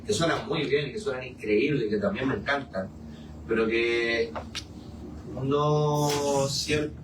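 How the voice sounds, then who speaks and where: A man's voice: medium pitch, unhurried. A man speaking reflectively in a group discussion, repeating phrases for emphasis as he leads the talk. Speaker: musician leading a discussion. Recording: echoey room, distant mic, clear noise.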